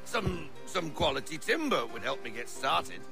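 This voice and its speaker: Cranky old sailor, gravelly n swings all over the place, salty theatrical game voice, music behind. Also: male voice, a little high, sing-song, normal speed. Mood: gruff. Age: older. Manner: theatrical, salty nautical talk. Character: cantankerous, superstitious old sailor who adores his ship. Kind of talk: video game cutscene acting.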